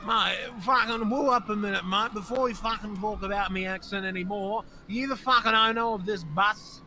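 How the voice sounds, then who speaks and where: A man's voice: high-pitched, steady tone, normal speed, pushed and bright, full character voice. A man playing a brash, foul-mouthed Australian who begs for a ride in an online game roleplay, full of slang and indignant swearing. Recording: noisy game voice chat, vehicle sounds.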